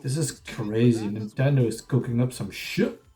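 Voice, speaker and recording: A man's voice: mid-range voice, normal speed, dark tone. A man who is sick and sniffling, chatting casually and offhandedly while reacting to video game announcements. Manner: rambling, offhand, sometimes wry. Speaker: gaming reaction streamer. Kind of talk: casual live reaction chat. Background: faint trailer music.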